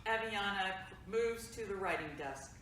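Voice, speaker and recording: A woman's voice: medium pitch, animated, measured pace, a bit bright, slight background noise. Matter-of-fact woman reading stage directions. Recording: echoey room, mic far off.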